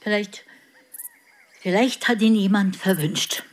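A woman playing a sentimental, superstitious village neighbour in a radio drama, speaking in a plaintive, drawn-out way. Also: medium pitch, natural voice. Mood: mournful.

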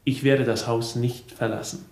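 A man's voice: mid-range voice, steady tone, normal speed, gravelly, a little mellow, lightly acted. An actor playing a murdered man's self-assured nephew in a 1970s radio crime drama, formal and assertive. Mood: controlled, polite.

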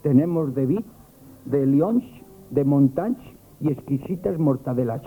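An actor in a Spanish radio comedy playing a nervous, lovestruck young grocery lad, gushing and stammering with an accent from Spain. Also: male voice, medium pitch, expressive, a little slow, slightly rough, narrow, tinny audio. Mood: eager patter. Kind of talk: 1970s radio comic theatre acting. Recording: band-limited vintage radio broadcast.

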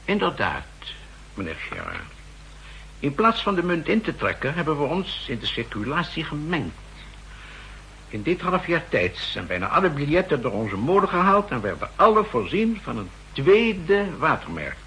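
An actor playing a fussy, insistent central-bank expert who explains pedantically, in 1960s radio drama style. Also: male voice, a little high, huge pitch swings, normal speed, fairly bright tone, full character voice. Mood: urgent, emphatic. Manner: pedantic, emphatic, hesitating and repeating himself. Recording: noisy vintage 1960s radio recording.

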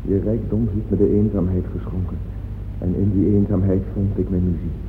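A man's voice: deep voice, flat delivery, moderate pace. An actor playing a troubled, lonely composer, solemn and intense, declaiming to his confidante in a radio drama. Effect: cavernous reverb, voice of memory. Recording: muffled, noisy old radio recording, long reverb.

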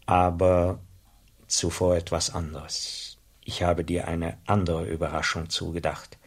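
Husky, solemn, sceptical bishop. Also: male voice, deep voice, completely flat delivery, a little slow, heavily acted. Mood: measured, gracious. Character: stately, sceptical bishop, older man of authority. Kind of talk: radio drama acting.

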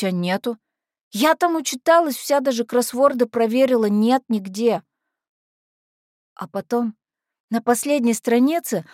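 A woman's voice: a little high, dry recording, studio quality, lightly acted. A woman playing a brash, lovestruck teenage orphanage girl, telling her story in a dramatic monologue with slangy, naive, confiding speech. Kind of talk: dramatic first-person monologue.

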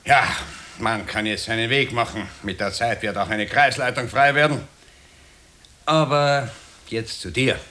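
Radio-drama Nazi careerist: Austrian accent, medium pitch, brassy, jovially condescending. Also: male voice, measured pace, pushed and bright, slight background noise. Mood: patronising. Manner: backslapping, condescending, chuckling. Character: smug, jovial Nazi party functionary, self-important careerist. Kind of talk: 1960 radio drama acting.

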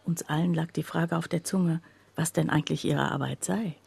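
An actress playing an earnest working woman who narrates a literary radio play in a husky voice. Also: low-pitched, fairly even intonation, moderate pace, a little mellow, no room sound, full character voice. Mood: narrating. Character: earnest working woman recounting her factory's closure.

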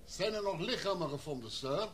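A man's voice: sits high, expressive, brisk, crowd noise. Een man die in een hoorspel een respectvol, vragend expeditielid speelt, beleefd van toon. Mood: concerned. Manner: polite, questioning. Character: respectful, questioning member of an expedition meeting. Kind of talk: radio drama acting. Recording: faint background noise.

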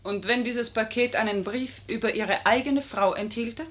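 Woman acting a high-voiced, proud, clever lady. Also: normal speed, a bit bright, natural voice. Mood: cool, pointed. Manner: theatrical, eloquent, pointed. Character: clever, proud, passionate lady sparring with a general. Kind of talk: 1950s German radio play acting.

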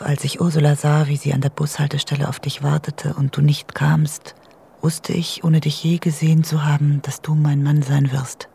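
An actress playing an intense, resolute woman writer in a radio drama, reading out her letter in a deliberate half-whisper. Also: deep voice, creaky, mellow, breathy edge, exaggerated voice.

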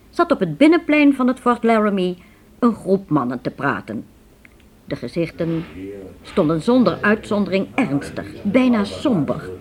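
A woman narrating a radio play as scripted storytelling, measured and expressive. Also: medium pitch.

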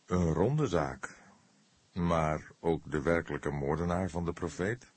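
A weary old police detective, voiced by a male narrator for a crime audiobook. His voice is low and husky, and he speaks deliberately and thoughtfully with a dry, sarcastic undertone. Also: no echo, full character voice. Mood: soft, weary. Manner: slow, measured, deliberate, soft-spoken.

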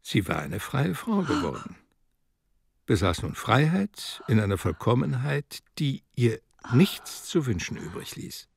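A man narrating a literary radio play in a measured, dramatic storytelling manner. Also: mid-range voice, very animated, gravelly, a little hiss or hum. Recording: studio production with music underneath. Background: music.

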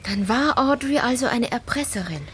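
A woman plays an innocent, inquisitive girl in a radio drama. Her voice is high, polite and frank, over some background ambience. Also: lively intonation, moderate pace, close to an everyday voice. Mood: curious, frank. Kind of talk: German radio play acting. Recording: clear ambient background noise.

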